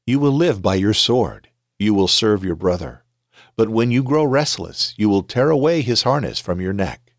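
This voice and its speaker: A man reading aloud the words of a gentle, solemn old blind father in a scripture reading. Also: fairly low pitch, animated, normal speed, no echo, clean studio sound.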